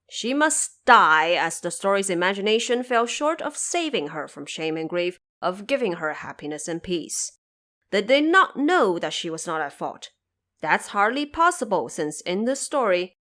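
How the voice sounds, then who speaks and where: A woman delivering scripted podcast commentary as host, in an expressive, pointed and rhetorical manner. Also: mid-range voice. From Cantonese-speaking region.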